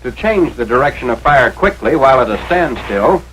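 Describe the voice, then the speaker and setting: A man's voice: mid-range voice, expressive, moderate pace, fairly bright tone, a little hiss or hum, low-quality recording. An American man reading scripted narration for a 1940s military training film in an authoritative, instructional manner, on a vintage film soundtrack.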